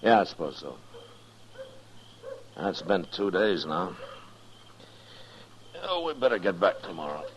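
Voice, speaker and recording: An actor playing a steady middle-aged frontier marshal with an American accent, dry and measured, in 1950s radio western style. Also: male voice, low voice. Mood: calm, measured. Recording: dull, noisy vintage radio recording.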